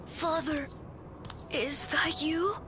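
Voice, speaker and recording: High-pitched, tearful abandoned child. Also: female voice, fairly even intonation, extremely slow, background noise. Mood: tearful, pleading. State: crying. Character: hurt abandoned child, tearful and pleading with father. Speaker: voice actor in a video game cutscene. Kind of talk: dramatic video game cutscene acting.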